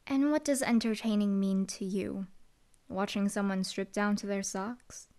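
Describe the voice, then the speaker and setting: A woman's voice: medium pitch, steady tone, unhurried, a little mellow, lightly acted. Young female hellhound bodyguard, dry, teasing, laid-back, voiced by a woman, audio roleplay. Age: young adult.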